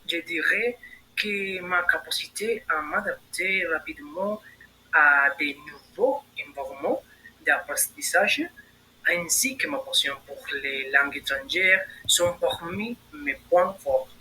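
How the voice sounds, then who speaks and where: Bright-voiced young man. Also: a little high, unhurried, a little hiss or hum, low-quality recording. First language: Spanish. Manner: recited prepared answers with hesitant fillers. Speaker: candidate in a mock admission interview. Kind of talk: role-played job interview.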